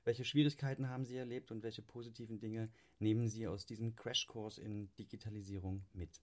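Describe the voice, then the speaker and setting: A man reading a scripted introduction to a podcast episode in a measured way. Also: on the low side, level tone. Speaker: podcast narrator. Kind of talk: scripted narration.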